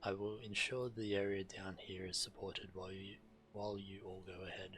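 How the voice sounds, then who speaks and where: Low, bright-voiced man reading game dialogue. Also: little pitch movement, moderate pace, slight background noise. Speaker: game streamer.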